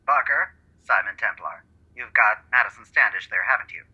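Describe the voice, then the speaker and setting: An actor playing a suave, firm detective, his husky voice coming through a telephone filter in a radio-style drama. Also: on the low side, normal speed, background noise. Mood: firm, urgent. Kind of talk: comedic old-time radio detective drama acting. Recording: muffled telephone-line effect.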